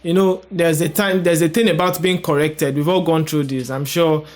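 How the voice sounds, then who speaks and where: Young man, higher-pitched voice. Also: steady tone, moderate pace. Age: young adult. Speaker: host. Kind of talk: casual commentary.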